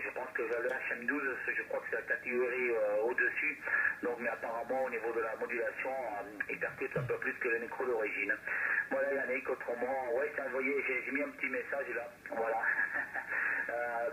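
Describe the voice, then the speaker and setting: A man's voice: mid-range voice, sharp tone. A man chatting casually as an amateur radio operator, heard through a radio transmission. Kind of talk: casual radio contact chat. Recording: noisy two-way radio transmission.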